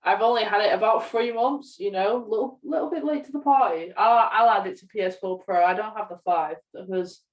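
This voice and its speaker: A woman's voice: medium pitch, a little fast, few pauses, distant mic, poor audio. An eighteen-year-old young woman co-hosting a podcast, chatting casually about gaming. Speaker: podcast co-host.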